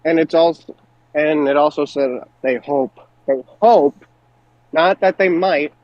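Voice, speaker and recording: A man's voice: a little high, unhurried, no room sound, highs cut off. A man co-hosting a podcast, speaking casually and informally as he discusses wrestling. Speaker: podcast co-host.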